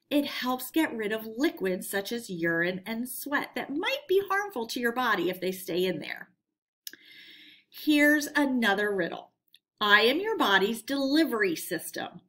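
Female educator, fairly high and crisp-bright, expressive read-aloud of scripted lesson for children. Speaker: teacher reading a lesson aloud.

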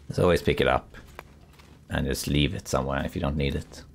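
A man with a raspy voice, still getting over a cold, calmly commenting as he plays a survival game and thinks aloud. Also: a bass voice, moderate pace, a little hiss or hum. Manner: relaxed, thinking aloud while exploring. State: recovering from a cold. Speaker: gameplay commentator. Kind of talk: calm let's play commentary.

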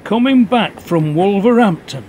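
A man's voice: sits high, lively intonation, a little mellow. A man playing a nostalgic, contrary football supporter in a radio play, talking in a ruminative way. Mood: reminiscing. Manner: slow, ruminative. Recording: faint train noise behind.

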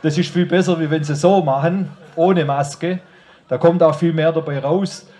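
A man's voice: a little high, fairly even intonation, normal speed, dark tone. A man with a Swabian accent gives an informal, colloquial rally speech outdoors into a handheld microphone, addressing the crowd directly. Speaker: rally speaker. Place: outdoor stage under an open tent.

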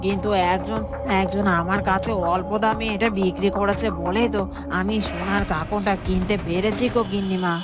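An actress voices a shifty, defensive washerwoman from a cartoon fairytale. Her mid-range voice hesitates as she justifies herself. Music plays clearly behind her. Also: mid-range voice, steady tone, a little fast, natural voice. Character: evasive, defensive village washerwoman. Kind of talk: animated cartoon dubbing.

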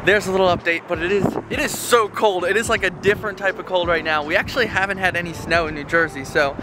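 A man's voice: high-pitched, fairly even intonation, fast talker, noisy background. A young man in his twenties giving chatty, upbeat updates to a handheld camera during a road trip. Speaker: vlogger. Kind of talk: casual travel vlog. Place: car and parking lot at night, handheld camera. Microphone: handheld camera at arm's length.

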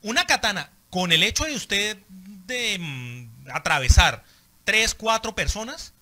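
A man's voice: high voice, animated, takes it slowly, pushed and bright. A Colombian man debating casually with friends, insistent and often repeating and restarting his phrases. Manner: insistent, repetitive, starts phrases over. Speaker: podcast panelist. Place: small band rehearsal room with acoustic foam. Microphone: handheld microphone.

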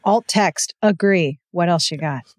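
A woman's voice: fairly low pitch, unhurried, no echo, clean studio sound. A woman hosting a podcast, chatting casually with a guest as she interviews. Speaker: podcast host.

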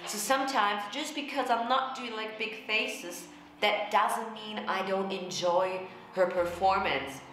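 A young woman vocal coach, a native German speaker, explaining herself in casual commentary to the camera with lively gestures. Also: medium pitch, unhurried, slight background noise. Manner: animated, gestures a lot, explanatory. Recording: very echoey room.

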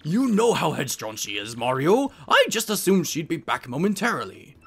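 Fussy, swooping old Toad steward. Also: male voice, a little high, big pitch swings, moderate pace, breathy edge, exaggerated voice. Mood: fretful. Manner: pompous old British gentleman phrasing, huffing. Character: fussy elderly male Toad steward, genteel, fretful. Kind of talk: video game dialogue voice acting. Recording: game music behind the voice.

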